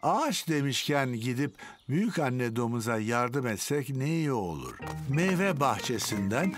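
A man's voice: mid-range voice, very animated, unhurried. A man voicing a cheerful old grandfather pig in a children's cartoon, kindly and jovial. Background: music.

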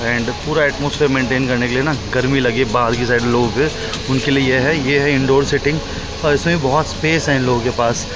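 A man's voice: mid-range voice, poor audio. A man, talking casually and directly to viewers as he hosts a vlog on a phone held at arm's length. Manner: chatty, informal, addressing viewers directly. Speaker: vlogger, host. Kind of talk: casual vlog narration mixing Hindi and English. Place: rooftop lounge, open-air and semi-covered seating at night.

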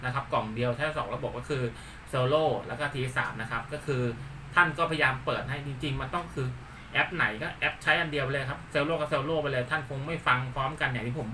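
A man from Thailand with a very bright, forceful voice gives an informal hands-on demo. He repeats himself and peppers his talk with polite particles. He sounds some way from the mic, with faint noise around him. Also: mid-range voice, recorded from a distance. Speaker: YouTube channel host. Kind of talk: casual hands-on product demonstration.

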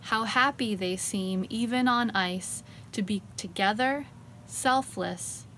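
A middle-aged woman reading poetry in a measured way, with deliberate phrasing and pauses between short phrases. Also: mid-range voice, fairly bright tone, slight background noise. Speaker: poet and teacher reading a poem.

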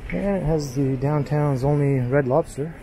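A man giving an unhurried, casual running commentary while walking through an indoor mall, filmed on a handheld camera. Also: fairly high pitch, faint music behind, low-quality recording. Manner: relaxed, unhurried commentary with pauses. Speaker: walking tour vlogger. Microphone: handheld gimbal camera.

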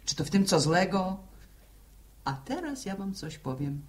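A woman's voice: lowish voice, very animated, measured pace, darkish tone. An actress playing a teasing, gossipy village woman with speech in a 1960s radio drama. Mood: chatty.

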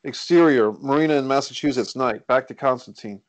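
A man's voice: mid-range voice, fairly quick, no room sound. A man hosting a script read and reading out the stage directions. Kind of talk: scripted screenplay narration. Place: indoors on a group video call.

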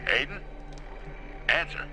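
An actor playing a brisk, impatient comrade calling over a walkie-talkie in a video game. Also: male voice, over music. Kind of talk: video game dramatic acting.

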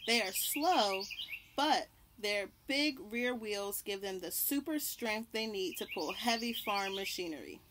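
A young adult woman reading a picture book aloud to children, deliberately, pausing to point out and label the pictures. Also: medium pitch, lively intonation. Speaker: children's read-aloud host. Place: outdoors on a house porch by trees. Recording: birdsong and light outdoor background noise. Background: birds chirping.

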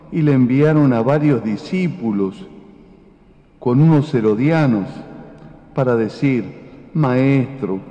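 Older priest in an echoing church. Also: male voice, mid-range voice, dark tone. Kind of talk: Gospel reading and homily. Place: large brick church. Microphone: lectern gooseneck microphone. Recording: echoey church hall, dull sound.

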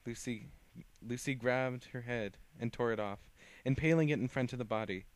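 A young man reads his homemade fan fiction aloud, stumbling and restarting on words as he goes. His voice is clear and smooth, at an ordinary middle pitch. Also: dry recording. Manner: halting reading with frequent restarts. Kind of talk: reading his own fan story aloud.

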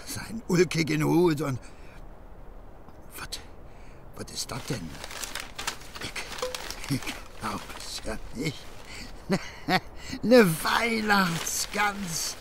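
A man's voice: a little high, extremely slow, gravelly, pauses often, noisy background, full character voice. An actor in a German radio play voicing a good-natured, tipsy working-class husband with a Berlin accent, rambling and slurring as he wheedles. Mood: amazed, pleased.

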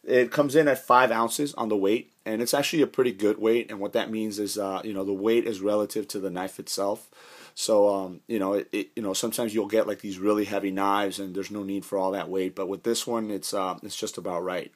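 A man with a hoarse voice from a cold, casually reviewing a knife indoors with lots of fillers and restarts. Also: on the low side, fairly even intonation, a little fast. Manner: rambling, many fillers and restarts. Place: indoors, carpeted room.

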